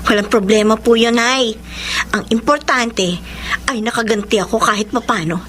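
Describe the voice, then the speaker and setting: A woman's voice: a little high, normal speed, a bit bright, slight background noise, lightly acted. An actress playing a scorned, vengeful young ex-girlfriend in a Filipino radio drama, speaking defiantly and emphatically.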